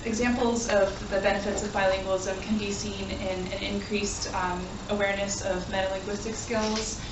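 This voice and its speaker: A woman's voice: mid-range voice, flat delivery, normal speed. A young woman, a graduate student, giving an academic presentation in an earnest way with frequent ums. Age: young adult. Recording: echoey room, distant mic, background noise.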